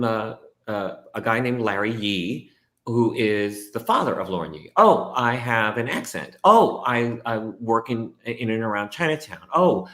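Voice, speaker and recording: A man, a stage actor and guest, talking through his work in a casual, animated way. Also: mid-range voice. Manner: animated storytelling, reenacts his own thoughts. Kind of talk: casual conversation. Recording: echoey room.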